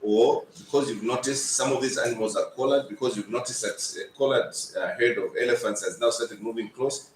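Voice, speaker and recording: A man's voice: mid-range voice, brisk, few pauses. A man giving an informal, emphatic address to a meeting as an official. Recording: echoey room, faint noise.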